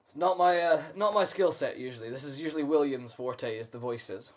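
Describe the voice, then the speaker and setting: A man's voice: mid-range voice, fairly quick. A man reading a storybook aloud as host, with chatty asides to listeners.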